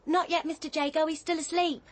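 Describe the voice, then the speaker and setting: An actress in a radio drama playing an anxious, dutiful young daughter, earnest and hesitant. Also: female voice, sits high, little pitch movement, fairly quick, close to an everyday voice.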